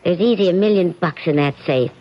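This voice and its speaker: An actress playing a conniving, cheating wife, coaxing in manner, in a radio drama. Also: female voice, fairly low pitch, a little fast, dull audio, close to an everyday voice. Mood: coaxing, scheming. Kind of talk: 1970s radio drama acting.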